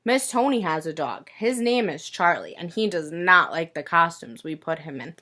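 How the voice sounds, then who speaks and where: A woman teacher reading a picture book aloud to young children in an expressive, sing-song way, pausing to ask them questions. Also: fairly low pitch.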